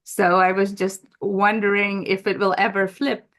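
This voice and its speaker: A woman's voice: medium pitch, level tone, moderate pace, a bit bright. A woman in her forties who is not a native English speaker, a guest asking a question on a video call, hesitant and full of fillers.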